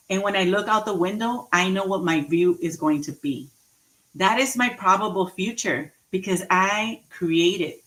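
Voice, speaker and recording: A woman in her forties giving an inspirational talk, slow and emphatic, repeating key phrases and laughing at her own jokes. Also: on the low side, a bit bright, poor audio. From Mexican heritage, raised in the US. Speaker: motivational speaker. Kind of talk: inspirational speech.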